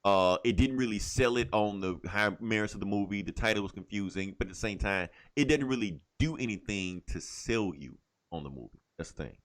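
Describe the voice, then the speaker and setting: A man's voice: fairly low pitch, lively intonation, a little fast. A man talking casually and emphatically as a podcast co-host, giving opinions and repeating phrases to drive his points home. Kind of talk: casual opinionated pop culture podcast talk.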